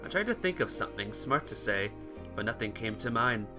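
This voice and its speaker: A man's voice: fairly low pitch, expressive, brisk, over music. A man reading visual novel narration aloud as a playthrough host, occasionally stumbling over the text.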